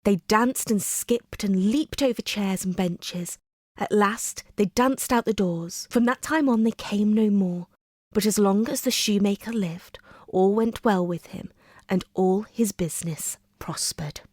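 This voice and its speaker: A woman's voice: mid-range voice, a little slow, darkish tone, no echo. Woman reading a bedtime story, dry sound. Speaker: storyteller, reader. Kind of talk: scripted bedtime story narration.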